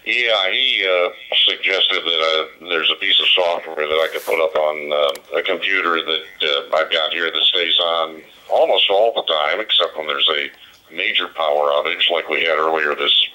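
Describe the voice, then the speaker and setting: Really low voiced guy, ham radio chat, halting, super bright sharp sound thru a digital handheld speaker. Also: steady tone, rough recording. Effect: digital two-way radio. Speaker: amateur radio operator. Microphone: voice played through portable radio speaker.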